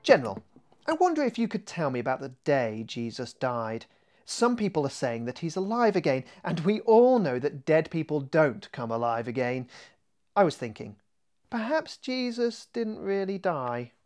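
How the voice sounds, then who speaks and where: Man as an inquisitive, animated storybook detective. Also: a little high, normal speed. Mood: curious, polite. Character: polite, inquisitive male police detective.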